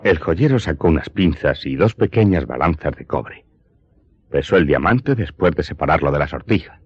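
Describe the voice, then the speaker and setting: A man's voice: rumbling low, expressive, moderate pace, husky, dry recording, highs cut off, full character voice. A man playing a wary smuggler who recounts his past as dramatic narration in a radio play. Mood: narrating, measured.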